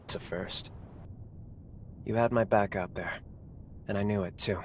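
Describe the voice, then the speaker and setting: An actor voicing a guilt-ridden, reckless young male fighter, speaking earnestly and quietly. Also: fairly low pitch, steady tone, unhurried, slight background noise, natural voice. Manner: earnest, subdued, confessional. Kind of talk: video game voice acting. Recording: game cutscene with music underneath.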